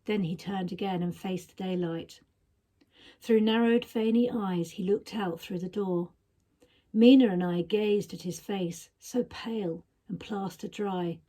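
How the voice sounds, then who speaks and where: A woman narrating a story expressively, with dramatic, measured phrasing that is often hushed. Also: mid-range voice. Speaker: story reader. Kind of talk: expressive audiobook-style narration.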